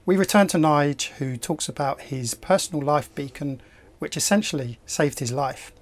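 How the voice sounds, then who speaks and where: A middle-aged man co-hosting a sailing channel, narrating and explaining the story in a measured way. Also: fairly high pitch. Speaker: channel co-host. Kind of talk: narration and commentary.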